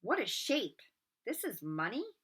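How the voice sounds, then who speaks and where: A woman's voice: a little high, wildly swooping pitch, measured pace, fairly bright tone, slightly rough, exaggerated voice. A woman voicing a casual, easily amazed schoolboy in a storybook, in a plain-spoken manner. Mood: casual wonder.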